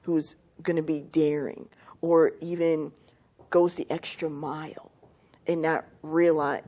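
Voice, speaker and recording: A woman giving a measured, explanatory answer as a panelist on a talk show. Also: low-pitched, warm tone, husky.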